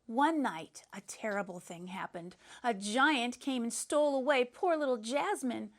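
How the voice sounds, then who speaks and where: Sentimental schoolgirl spinning a princess fairy tale, woman reader, earnest and dramatic, highish voice, storybook read-aloud. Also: expressive, a little slow. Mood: sweet, dramatic.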